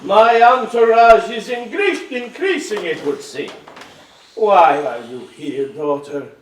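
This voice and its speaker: A man's voice: high voice, expressive, slight background noise. A man playing a wry, fond, commanding king in a musical stage reading, with a stately, formal manner. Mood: amused, fond. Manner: stately, measured, formal wording. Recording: echoey stage hall, far microphone.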